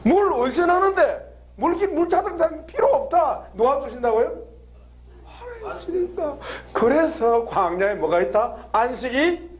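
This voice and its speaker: A man preaching a lively sermon, laughing often and drawing call-and-response answers from the congregation with frequent questions. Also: extremely high pitch, normal speed, slight background noise. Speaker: pastor. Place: church hall with congregation.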